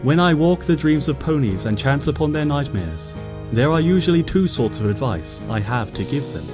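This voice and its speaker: A man voicing a wise, gentle female moon princess pony who speaks in a formal, slightly old-fashioned way in a storybook reading. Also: fairly high pitch, very animated, moderate pace, over music. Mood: tender. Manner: formal, archaic-tinged, tender speech.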